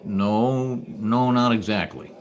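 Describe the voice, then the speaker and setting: An actor playing a world-weary but decent private detective in old-time radio noir style, wry and laconic. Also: male voice, on the low side, slow. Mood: gentle, guarded.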